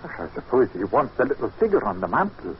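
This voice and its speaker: An actor plays a manservant, grave and deferential, hinting darkly at trouble. His pitch rises and falls widely in vintage radio-drama style, on a dull recording with faint noise. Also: very animated, a little fast, natural voice. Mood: hushed, watchful. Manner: deferential, ominous, cryptic. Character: grave, foreboding Scottish male servant McJobson. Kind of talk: old time radio drama acting.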